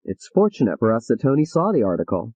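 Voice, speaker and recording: A woman voicing a calm, patient male psychiatrist who speaks soothingly. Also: rumbling low, husky, dry recording, voice pushed down, heavily acted. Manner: soothing, measured.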